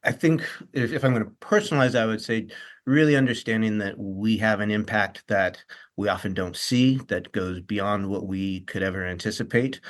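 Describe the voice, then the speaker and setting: Low-voiced man, earnest guest. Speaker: stage actor, guest. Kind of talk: interview chat about a stage role.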